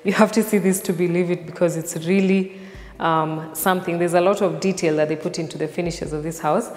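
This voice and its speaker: A woman's voice: fairly low pitch, steady tone, brisk, darkish tone. A woman from Kenya hosting a walking house tour, chatty and enthusiastic as she points out features to viewers. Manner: enthusiastic, chatty, addresses viewers as you guys. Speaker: real estate tour host. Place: large empty house, indoors. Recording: echoey empty rooms with long reverb.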